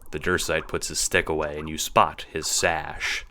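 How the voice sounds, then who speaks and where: A man with a deep voice narrates a scripted audio drama. His tone has a bright, forceful edge as he reads the story in the second person. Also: flat delivery, normal speed. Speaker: narrator and voice actor.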